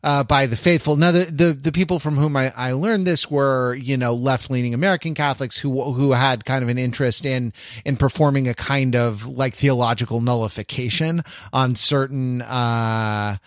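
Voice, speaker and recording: A man co-hosting a podcast in casual intellectual discussion, haltingly searching for precise words. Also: mid-range voice, fairly even intonation, no echo, studio quality. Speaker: podcast co-host.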